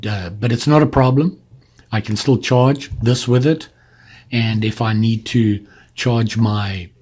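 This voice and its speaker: A man's voice: fairly low pitch, a little mellow, husky. A man giving a casual hands-on product demonstration, informally explaining step by step as he handles the device. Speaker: product reviewer.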